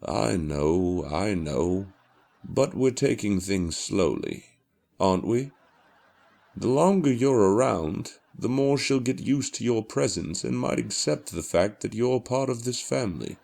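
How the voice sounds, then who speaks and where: Man acting tipsy older father, animated and gravelly. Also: lowish voice, measured pace. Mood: reassuring. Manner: dulled with liquor, dismissive, soothing. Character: older widowed father, tipsy, dismissive yet affectionate. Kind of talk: audio horror drama acting.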